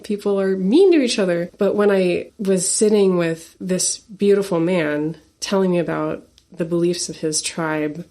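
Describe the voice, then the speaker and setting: A woman in her forties, a singer-songwriter guest, telling a personal story in an earnest, animated way with laughs and fillers. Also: fairly low pitch. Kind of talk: storytelling interview talk.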